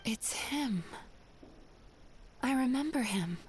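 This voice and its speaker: A woman playing an earnest, thoughtful young woman in a video game, measured and softly questioning, over music. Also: a little high, lively intonation, slight background noise. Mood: concerned.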